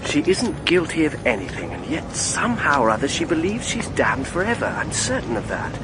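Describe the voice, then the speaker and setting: A man's voice: a little high, brisk, over music, poor audio. An actor playing an urgent, gallant, impulsive young man with a British accent in a radio drama. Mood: grave, worried. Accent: British English. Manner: impulsive, insistent, excitable.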